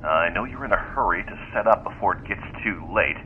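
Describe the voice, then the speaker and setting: A shadowy, courteous male caller in an audio drama, with a very low voice. He speaks in measured, formal phrases that carry a veiled threat, over a noisy line. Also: animated, narrow, tinny audio. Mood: politely insistent. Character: menacing, smooth-talking male mystery caller, polite but threatening.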